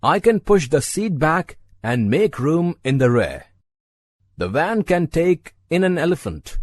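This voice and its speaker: A woman's voice: sits low, sing-song, a little slow, darkish tone, no room sound, clean studio sound, voice pushed down, heavily acted. A woman reading a story voices a courteous, eager foreign businessman who keeps pressing his offer. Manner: courteous, coaxing.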